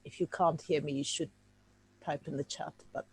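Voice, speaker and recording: A woman's voice: low voice, steady tone, moderate pace, darkish tone, breathy edge, rough recording. A woman from Czechoslovakia, a native Czech speaker, giving an informal talk over a video call, hesitant and full of fillers and restarts. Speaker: guest speaker.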